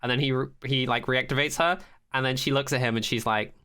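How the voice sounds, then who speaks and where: A man's voice: medium pitch, fairly even intonation, fast talker, sharp tone, smooth. A man co-hosting a podcast, recapping a TV episode in casual, sweary chat peppered with "like". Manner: sweary, frequent "like", acts out quotes. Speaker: podcast co-host.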